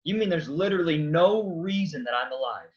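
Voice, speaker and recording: A man playing a sardonic, high-voiced, reluctantly resurrected guy. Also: expressive, brisk, highs cut off, lightly acted. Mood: incredulous, confused. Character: sarcastic, reluctant man freshly raised from the dead. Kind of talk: staged reading of a comic play.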